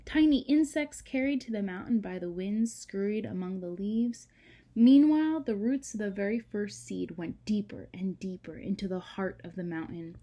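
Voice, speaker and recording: Woman, medium pitch, expressive children's read-aloud, dramatic emphasis, hushed breathy moments, chatty teacherly asides. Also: moderate pace.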